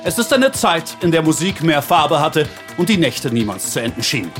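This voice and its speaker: Grandiose male narrator, performed by an actor, hugely varied pitch, solemn showman delivery over clear music. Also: very animated, normal speed, a bit bright. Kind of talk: dramatic radio play narration.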